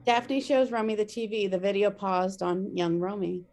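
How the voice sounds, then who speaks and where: A woman's voice: mid-range voice, moderate pace, darkish tone. A woman reading out stage directions as scripted narration at a table read.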